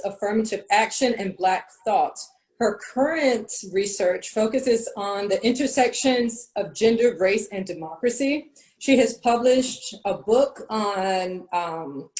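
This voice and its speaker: A woman hosting a university webinar, introducing the guest speaker in an even, measured way with small fillers. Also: medium pitch, poor audio. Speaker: host, university professor. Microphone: video call.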